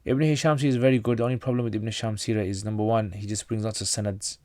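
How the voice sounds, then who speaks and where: A man's voice: fairly low pitch, fairly even intonation, rapid-fire, warm tone, no room sound. A man from North Pakistan, a native Pashto speaker, hosting a casual livestream Q&A. He reads out viewer questions and answers them plainly, often restarting his sentences.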